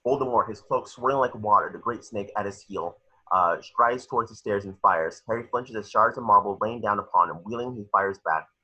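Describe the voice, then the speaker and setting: A man's voice: lowish voice, brisk, sharp tone, breathy edge, muffled recording. A man reading screenplay stage directions as narrator at an amateur table read, occasionally stumbling over the words.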